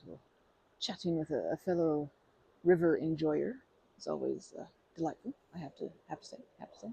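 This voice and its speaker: A woman doing an ASMR roleplay as a polite stranger, talking softly with hesitant, rambling chatter and many restarts, sometimes dropping to a whisper. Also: deep voice, big pitch swings, brisk, gravelly, a little hiss or hum, poor audio. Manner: soft-spoken, drops to whispers.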